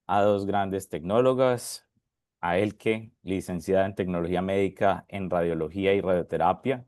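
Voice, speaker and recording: A man's voice: deep voice, dry recording, clean studio sound. A man hosting an online session, formally reading out the speakers' introductions, with occasional slips. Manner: reads guest bios at a steady formal pace, with small slips. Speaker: session host and moderator.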